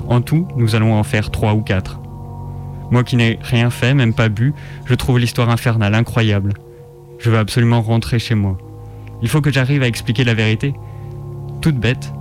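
A man reading a first-person story as dramatic scripted narration. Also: medium pitch. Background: music.

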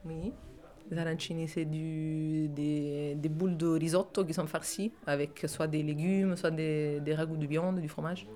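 Halting woman with a low voice. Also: steady tone, mellow. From Italy. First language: Italian. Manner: hesitant, halting, many fillers and restarts. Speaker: guest, restaurant cook. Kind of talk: casual interview talk.

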